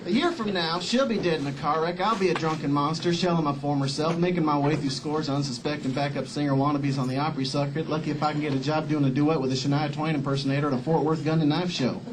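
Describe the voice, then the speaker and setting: An actor playing a dim, cocky young country music star with a folksy Southern drawl, in a live satirical sketch. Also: male voice, a little high, fairly even intonation, fast talker, hardly any pauses, noisy background, close to an everyday voice. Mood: blithe. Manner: folksy, blithely self-absorbed drawl. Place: comedy club stage before an audience. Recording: live club stage, loud crowd, music.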